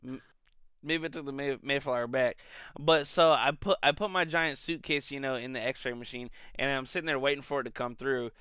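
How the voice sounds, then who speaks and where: A man co-hosting a podcast, telling comic anecdotes in a casual, animated, sweary way. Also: medium pitch, fairly quick, smooth, dry recording. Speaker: podcast co-host.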